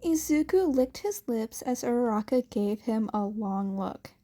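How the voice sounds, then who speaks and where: A woman's voice: a little high, expressive, a little slow, mellow. A woman reading scripted fan fiction narration.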